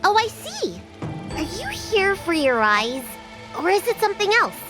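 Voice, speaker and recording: A woman playing a chatty, excitable young girl healer in a video game, kind and self-assured. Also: very high voice, measured pace, music in the background, exaggerated voice. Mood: eager, professional. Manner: exclamatory, flustered, chatty.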